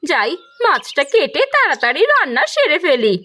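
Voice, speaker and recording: Woman playing a high-pitched, sharp-tongued village housewife. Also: sing-song, moderate pace, full character voice. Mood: pleased. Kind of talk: cartoon dialogue acting.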